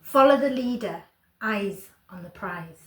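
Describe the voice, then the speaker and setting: A woman performing a dramatic spoken-word poem with measured, deliberate phrasing. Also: medium pitch, expressive, fairly bright tone. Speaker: poetry performer. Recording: echoey, roomy recording.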